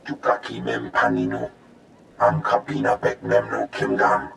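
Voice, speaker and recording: A low, heavily creaking alien analyst in a video game. He speaks a guttural invented tongue in short clipped phrases, with faint noise behind him. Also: big pitch swings, measured pace, fairly bright tone, exaggerated voice. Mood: businesslike. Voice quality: gravelly, creaky.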